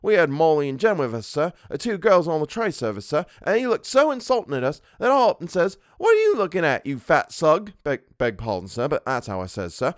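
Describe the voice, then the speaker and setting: High-pitched young Cockney servant. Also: male voice, sing-song, brisk, few pauses, dry recording, clean studio sound. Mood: indignant. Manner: colloquial, deferential, full of sirs. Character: young Cockney servant man, deferential, sheepish, indignant.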